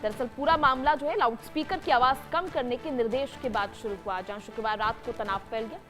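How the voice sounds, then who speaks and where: A woman's voice: fairly high pitch, a little fast, a bit bright. A woman news anchor narrating a breaking report in an emphatic, formal broadcast delivery. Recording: music bed under the voice.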